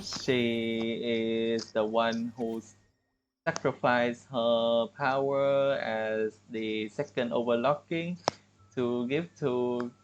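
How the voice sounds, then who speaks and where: A man giving casual livestream commentary on a game, with halting, hesitant phrasing. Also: medium pitch, lo-fi sound, slight background noise. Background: game music.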